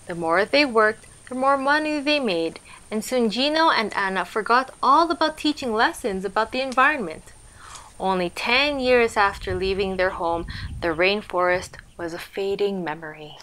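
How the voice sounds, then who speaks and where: A woman reading a storybook aloud to children in an expressive, explanatory manner. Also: medium pitch, moderate pace, low-quality recording.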